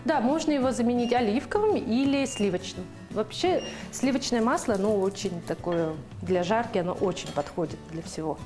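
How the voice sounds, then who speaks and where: A woman's voice: on the high side, lively intonation, moderate pace. Chatty young woman on a cooking show. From Yakutia, Russia. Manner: chatty, hesitant, many fillers. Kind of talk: casual chat while cooking. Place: TV studio kitchen. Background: music.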